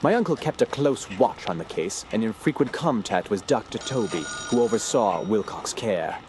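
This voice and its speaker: Solemn male narrator acted by a man, low-pitched and ominous, for gothic horror audio drama, light ambient noise. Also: lively intonation, moderate pace, close to an everyday voice. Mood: grave, ominous. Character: grave male narrator recounting his uncle's dark discoveries.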